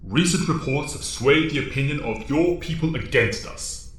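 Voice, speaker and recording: An actor playing a solemn, authoritative mentor to young heroes in an audio drama, speaking formally and precisely. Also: male voice, medium pitch, moderate pace, a bit bright, close to an everyday voice. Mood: grave, formal. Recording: echoey, reverberant voice.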